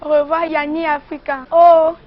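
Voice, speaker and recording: A woman's voice: sits high, flat delivery, normal speed. A woman from western Cameroon with a Cameroonian accent, reciting a greeting in a street interview. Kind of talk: recited vox pop greeting. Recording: dull lo-fi street audio.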